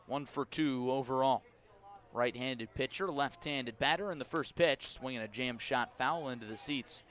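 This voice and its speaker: A man calling live baseball play-by-play as a radio announcer, measured between pitches and lifting with the action. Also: fairly bright tone. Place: outdoor ballpark broadcast.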